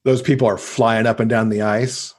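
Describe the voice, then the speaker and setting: Low-voiced, slightly husky man chatting. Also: flat delivery, normal speed, a little mellow. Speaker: podcast guest, avid reader. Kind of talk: casual interview conversation about books.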